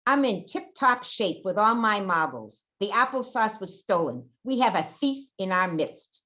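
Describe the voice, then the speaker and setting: A woman's voice: mid-range voice, very animated, unhurried, bright, forceful tone. Indignant kitchen manager, played by a woman, dull lo-fi sound. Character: indignant senior-center kitchen manager, proud, accusing woman. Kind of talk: amateur old-time radio theater acting. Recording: dull lo-fi audio.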